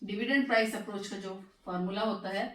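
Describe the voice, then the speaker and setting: A woman's voice: mid-range voice, fairly even intonation, recorded from a distance. Lady teacher, scratchy sore throat, asks then answers her own questions, roomy echo. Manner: slows down to spell out English terms. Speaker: economics teacher. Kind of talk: classroom lecture. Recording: echoey room, voice from off mic.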